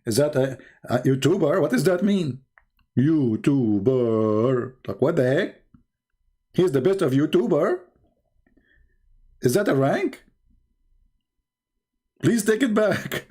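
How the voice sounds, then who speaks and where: A man's voice: on the high side, expressive, takes it slowly, pauses often, dark tone, breathy edge. A man with an Arabic accent hosting a live debate stream, taunting and sarcastic, laughing at his own jokes. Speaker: livestream debate host. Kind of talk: mocking livestream debate.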